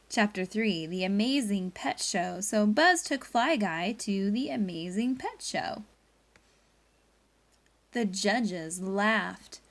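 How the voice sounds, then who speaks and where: A woman's voice: medium pitch, expressive, very slow, pauses often. A woman teacher leading a read-aloud lesson for pupils, reading expressively and guiding them through a story. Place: indoors at a laptop.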